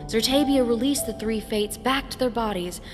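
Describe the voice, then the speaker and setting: A woman narrating a scripted fantasy story in a solemn, deliberate, dramatic way. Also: fairly high pitch. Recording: reverberant hall, music behind.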